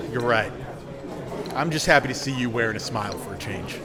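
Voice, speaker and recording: A man's voice: mid-range voice, lively intonation, close to an everyday voice. An actor playing a kindly, worried old barman, hesitant and folksy, in an audio drama. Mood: resigned, fond. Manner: hesitant, folksy drawn-out talk. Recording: busy bar crowd behind voice.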